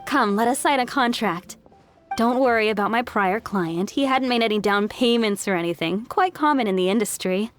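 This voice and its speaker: Woman playing a high-voiced, shrewd merchant. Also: moderate pace, faint music behind, natural voice. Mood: eager, businesslike. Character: shrewd, brisk female merchant eager for profit. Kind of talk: scripted video game dialogue.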